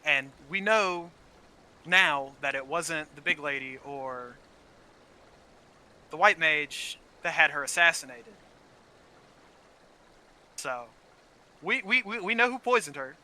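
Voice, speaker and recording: A man with a fairly high, very bright and sharp voice talks casually over a game. He is opinionated and sweary, often stumbling into repeated words as he argues. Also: animated, slow, pauses often. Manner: frequent swearing, heated and opinionated. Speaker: gaming channel co-host. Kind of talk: casual gameplay chat about story.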